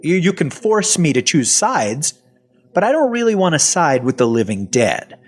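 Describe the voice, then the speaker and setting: Wry, cynical half-zombie survivor played by a man, husky voice, animated swings in pitch, first-person audio drama. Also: moderate pace. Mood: defensive, sardonic. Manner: sardonic, colloquial. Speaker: voice actor and narrator. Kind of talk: first-person audio fiction narration and dialogue.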